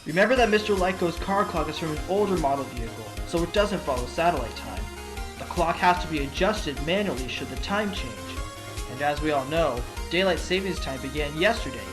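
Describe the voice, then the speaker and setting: A man's voice: on the high side, close to an everyday voice. A man voicing an earnest, determined fox defense attorney in a courtroom audio drama, arguing with emphasis. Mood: confident. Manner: emphatic, argumentative, dramatic pauses.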